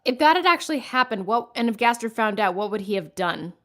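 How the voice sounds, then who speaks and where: Higher-voiced woman co-hosting. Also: expressive, fast, a bit bright. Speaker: co-host asking questions. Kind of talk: casual livestream chat.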